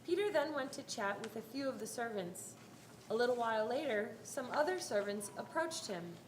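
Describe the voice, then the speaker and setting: A woman's voice: fairly high pitch, animated, moderate pace. A woman narrating a scripted dramatic reading of a Bible story. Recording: roomy echo, faint background noise.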